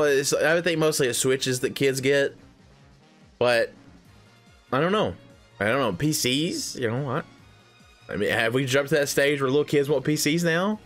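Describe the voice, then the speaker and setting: Lively, rambling thirty-year-old man. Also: medium pitch. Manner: rambling and emphatic, with restarts, slang and swearing. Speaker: gaming video creator. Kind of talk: casual opinion vlog.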